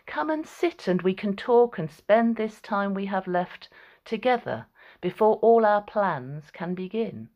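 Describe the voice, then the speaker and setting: A woman's voice: medium pitch, animated, a little slow, a little mellow, highs cut off, pitched above the performer's own voice, natural voice. A woman voicing Alecto, an eager, sinister winged female Fury with a soothing manner, in a storybook reading. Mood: coaxing.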